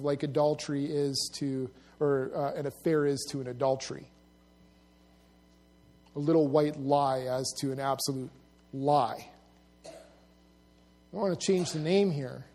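A middle-aged male pastor preaching a sermon, swinging between loud emphasis and hushed, low intensity, with humorous asides. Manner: storytelling with humor, drawing laughs. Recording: long hall reverb.

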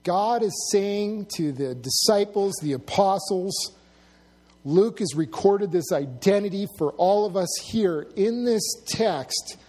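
A middle-aged man preaching with a high-pitched voice, pushing hard on key words and then dropping to a low, hushed intensity. His sermon rings through a church hall with a long reverb tail, and he lightens it with humorous stories. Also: expressive, takes it slowly. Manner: dramatic shifts between loud emphasis and hushed, low-voiced intensity; storytelling with humor, drawing laughs. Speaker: pastor.

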